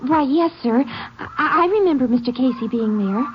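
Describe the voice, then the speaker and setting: Eager teenage witness, high girlish American voice, polite, hesitant, dull vintage radio sound. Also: animated, moderate pace, soft background music. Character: eager, shy teenage high-school girl, helpful witness. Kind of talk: 1950s radio drama acting. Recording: muffled vintage radio, faint noise.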